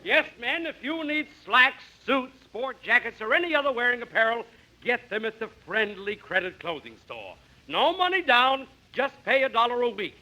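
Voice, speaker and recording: An actor playing a cheerfully brazen clothing pitchman, with emphatic sales patter in a parody 1950s commercial. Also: very animated, moderate pace, pushed and bright, full character voice, poor audio. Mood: brazenly salesy.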